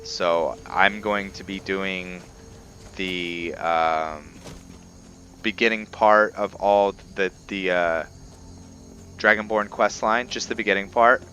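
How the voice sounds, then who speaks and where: A man giving casual, somewhat halting commentary over gameplay as the host of a gaming video. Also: on the low side, fairly even intonation, bright, forceful tone, slight background noise.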